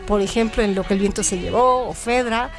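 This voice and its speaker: A woman reading scripted literary narration as a storyteller, in a measured, articulate manner. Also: mid-range voice. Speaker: narrator, writer and director of an audio story show. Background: background music.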